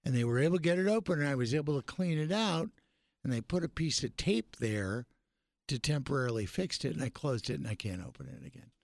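A man's voice: medium pitch, brisk, no echo. A man hosting a radio talk show, chatting casually and telling jokey stories. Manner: lively storyteller, jokey. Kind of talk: casual chat.

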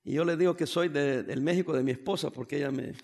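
A man's voice: fairly high pitch, quick pace, creaky, darkish tone. A man from El Salvador preaching a sermon as pastor of a church, conversational and joking as he talks to the congregation. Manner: conversational preaching with humorous asides.

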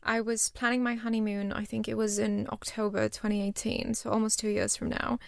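Chatty woman with a gravelly edge. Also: medium pitch, level tone, a little fast, dry recording, clean studio sound. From Singapore. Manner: chatty and self-deprecating, often laughs at herself. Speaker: startup founder, guest. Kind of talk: casual interview chat.

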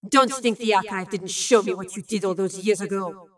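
A haughty villainess who craves power, voiced by a woman for an audio drama. She sneers and hisses in a medium-pitched voice with a dry, studio-like sound. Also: normal speed, no room sound, close to an everyday voice. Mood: hissing threat. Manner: sneering, hissing, contemptuous. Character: sneering, imperious villainess hungry for all knowledge.

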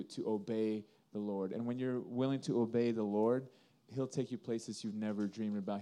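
Guy from indonesia, band musician doing a casual interview, mellow voice, earnest storyteller. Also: lowish voice.

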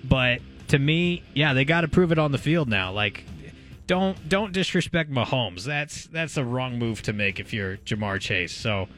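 A man's voice: mid-range voice, animated, measured pace, fairly bright tone, soft background music. A man co-hosting a sports talk show, giving blunt opinions in casual conversation. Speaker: sports talk radio co-host.

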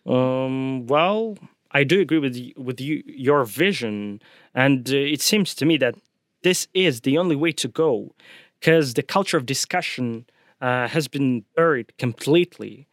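A man's voice: medium pitch, animated, measured pace, no room sound. Young Russian-accented man, podcast co-host, hesitant and dryly teasing, mid-range voice, dry recording. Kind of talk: casual podcast chat.

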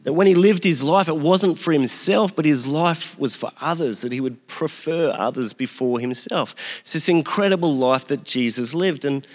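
A man's voice: fairly high pitch, moderate pace, few pauses. A man in his forties giving a sermon as pastor, opening with prayer and telling self-deprecating stories in a conversational way. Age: 40s. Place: church hall. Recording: long hall reverb.

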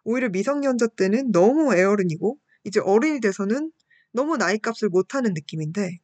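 Female host, mid-twenties, medium pitch. Polite, reflective, self-deprecating personal talk with listeners, dry recording. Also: lively intonation, a little fast, darkish tone, no room sound. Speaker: radio-style show host and university student.

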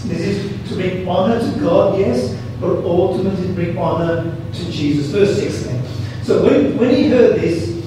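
A man's voice: high voice, moderate pace, hardly any pauses. A man with a Birmingham English accent preaching a sermon, emphatic, repeating phrases and putting rhetorical questions to his congregation. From Birmingham, England. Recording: very echoey room, distant mic, clear noise.

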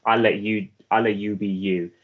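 Man, Welsh accent, very low pitch; earnest interview guest, halting delivery, muffled lo-fi audio. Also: flat delivery. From Afghanistan. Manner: earnest, halting, with many restarts and fillers. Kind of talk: reflective personal storytelling.

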